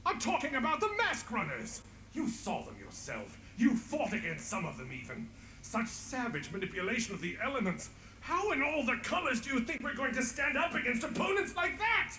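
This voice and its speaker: An actor playing a panicky, defeatist council leader in a fantasy game, agitated and theatrical. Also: male voice, very high voice, very animated, moderate pace, pushed and bright, echoey room, a little hiss or hum, heavily acted, rough recording. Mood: panicked, indignant. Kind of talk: dramatic fantasy game voice acting.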